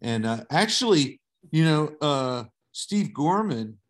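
A man's voice: on the high side, big pitch swings, slow. Laid-back middle-aged man telling stories. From southern United States. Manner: laid-back, swears casually. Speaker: guest. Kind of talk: casual interview storytelling.

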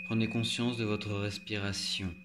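A man leading a guided yoga relaxation as an instructor, giving calm, measured instructions with long pauses. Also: on the low side. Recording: loud meditation music with singing bowls. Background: meditative music, singing bowl tones.

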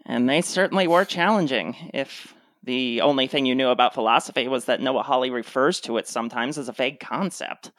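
A woman's voice: extremely low pitch, brisk, hardly any pauses, no room sound. An actress playing a cutting, sarcastic wife who is fed up with her husband in a radio play. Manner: dry, sarcastic.

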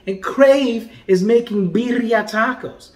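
Animated man reviewing food in an echoey room. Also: extremely high pitch, slow pace. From Puerto Rican heritage. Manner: animated, playful, drops to quiet murmurs while tasting. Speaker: food vlogger and comedian host. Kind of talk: casual food review vlog. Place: home dining room indoors.

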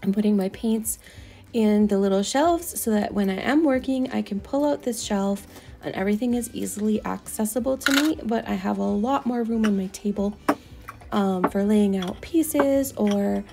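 A woman's voice: mid-range voice, normal speed, gravelly, mellow. A middle-aged woman chatting casually as she narrates a video, rambling with lots of fillers. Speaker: doll artist vlogger. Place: home craft room.

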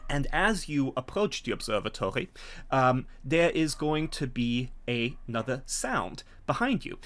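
Middle aged guy running a tabletop game, medium pitch, chatty narration, pauses to find words. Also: sing-song, fairly bright tone. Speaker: game master. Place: home room, indoors, on a video call.

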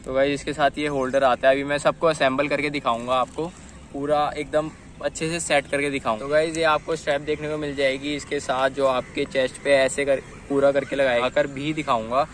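A young man talks straight to his viewers in a chatty, easygoing way, calling them guys as he shows off a gadget. His voice sits at an ordinary pitch and stays fairly level with little rise and fall. He is recorded outdoors on a phone, with faint noise from the open air around him. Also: flat delivery, a little fast. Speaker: vlogger. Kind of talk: casual unboxing and test vlog. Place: outdoors in an open dirt field, later riding on a street. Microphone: phone camera, later a chest-mounted phone.

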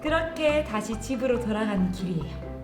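A woman storyteller narrating for children in an expressive, sing-song way with drawn-out words. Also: a little high. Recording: echoey room with music behind.

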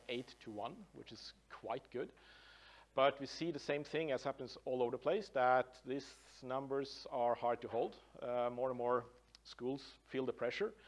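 Man, middle-aged, Norwegian accent, conference talk, mid pitch, casual with fillers, large room, distant mic. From Norway. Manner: loose and conversational, many fillers and restarts. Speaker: conference speaker, design professor. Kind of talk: conference presentation.

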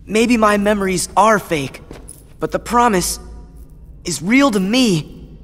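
Teenage boy game hero, resolute, very slow phrases, reverberant hall. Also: high-pitched, expressive, fairly bright tone, soft background music, full character voice. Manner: resolute, halting, sincere. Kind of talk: video game cutscene acting.

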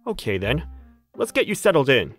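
A woman's voice: rumbling low, sing-song, moderate pace, soft background music, full character voice. A woman playing a kind, protective wolf-eared young man in an anime dub, laid-back and reassuring. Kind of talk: anime manga dub acting.

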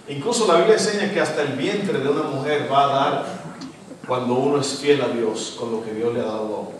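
A middle-aged Dominican man with a Dominican Spanish accent, preaching a sermon as guest pastor, animated and asking the congregation questions. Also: on the high side, recorded from a distance, a little hiss or hum. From Dominican Republic. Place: church stage, indoors, large hall. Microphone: handheld mic. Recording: echoey hall, recorded from afar.

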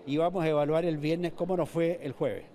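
A man's voice: fairly high pitch, fairly even intonation, fairly quick, dark tone, a little hiss or hum. An older man with a Chilean accent, a mall manager answering a reporter's questions in a news interview, often restarting and repeating words. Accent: Chilean Spanish. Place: indoor shopping mall corridor. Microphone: reporter's handheld mic.

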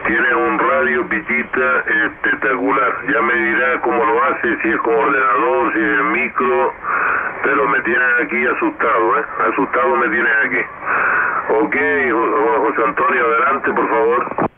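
A man's voice arrives over a thin radio channel buried in heavy static. It is extremely gravelly and stays fairly flat as he chats casually with other operators. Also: mid-range voice, normal speed. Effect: two-way radio. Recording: very noisy narrowband radio transmission.

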